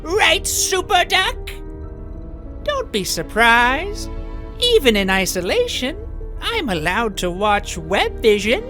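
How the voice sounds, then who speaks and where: A woman's voice: on the high side, wildly swooping pitch, slow, pushed and bright, faint music behind, heavily acted. A woman playing a smug, idealistic imprisoned mastermind in a comic dub, speaking pompously and theatrically with menace. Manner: pompous, philosophising.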